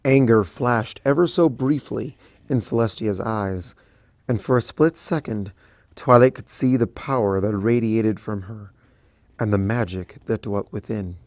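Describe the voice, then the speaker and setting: A man reading a story aloud softly and evenly. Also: lowish voice, measured pace, no room sound. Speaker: fanfiction reader.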